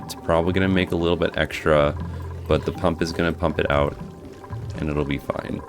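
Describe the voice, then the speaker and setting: A hoarse man casually talking through his gameplay as a guide host, thinking aloud as he plays. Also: rumbling low, fairly quick. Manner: thinking aloud, relaxed, restarts. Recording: music playing behind.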